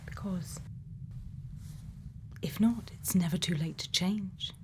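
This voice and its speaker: A woman doing an ASMR roleplay as a gentle, caring scientist, speaking softly and whispering in a hushed, deliberate manner close to the mic. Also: fairly low pitch. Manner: slow, hushed, deliberate, with thoughtful pauses. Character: gentle, caring female scientist tending a robot. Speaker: ASMR creator.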